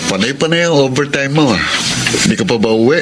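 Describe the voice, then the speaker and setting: An actor playing a friendly coworker, joking yet caring, in a radio drama. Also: male voice, mid-range voice, expressive, fast, loud music behind the voice, lightly acted. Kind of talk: Tagalog radio drama acting.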